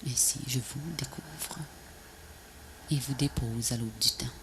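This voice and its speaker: A woman recites poetry as a voice-over in a solemn, measured, breathy and often half-whispered delivery. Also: rumbling low. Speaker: poet narrator of a video poem. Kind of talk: poetic recitation, scripted voice-over. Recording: noisy VHS transfer, ambient noise.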